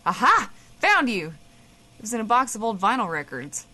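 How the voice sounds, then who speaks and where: An actress playing a blunt, teasing, bossy guest co-host who swears freely in a comedic audio drama. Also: female voice, a little high, very animated, normal speed, bright, forceful tone, exaggerated voice. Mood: insistent.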